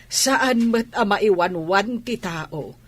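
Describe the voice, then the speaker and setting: Woman acting ilocano radio drama mom, raspy mid voice, protective and pleading. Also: medium pitch, expressive, takes it slowly. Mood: indignant.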